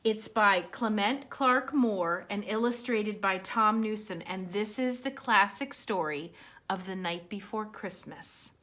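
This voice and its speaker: A woman reading storybooks aloud to children as a library storyteller, expressive and speaking directly to young listeners. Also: mid-range voice, measured pace.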